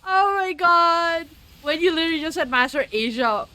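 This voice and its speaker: A woman chatting casually on a game live stream, amused and quick to react with exclamations. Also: extremely high pitch, expressive, bright, forceful tone, no room sound, soft background music.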